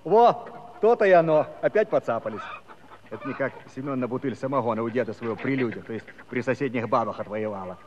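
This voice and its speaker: An actor playing a gossipy, lively village Cossack, narrating a comic audio drama in a chatty, folksy way. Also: male voice, medium pitch, fast talker, muffled recording, noisy background, natural voice. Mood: amused, gossipy.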